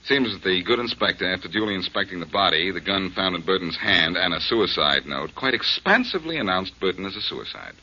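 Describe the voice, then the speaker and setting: An actor playing a cool, dry-witted detective in a 1940s radio drama, full of teasing wisecracks. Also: male voice, deep voice, sing-song, a little fast, few pauses, bright, forceful tone, husky, exaggerated voice. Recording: dull lo-fi 1940s radio broadcast.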